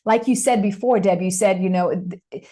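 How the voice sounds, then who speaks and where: A woman's voice: medium pitch, moderate pace, warm tone. A woman from Israel whose native language is Hebrew, explaining ideas as an interview guest in an emphatic way, repeating phrases to drive them home. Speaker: guest, success and mindset coach.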